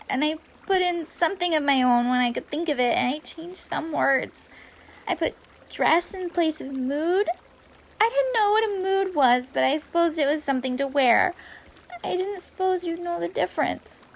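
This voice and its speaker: A woman's voice: high-pitched, creaky, voice pushed up, poor audio. A woman voicing an earnest, naive, tearful schoolgirl making a confession in an audiobook. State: tearful, sobbing. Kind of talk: audiobook character acting.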